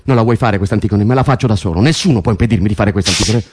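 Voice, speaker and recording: An actor playing an earnest, idealistic political prisoner in a theatrical radio drama, persuading insistently and urgently with repeated phrases. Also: male voice, lowish voice, very fast, darkish tone, close to an everyday voice. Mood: bitter, insistent.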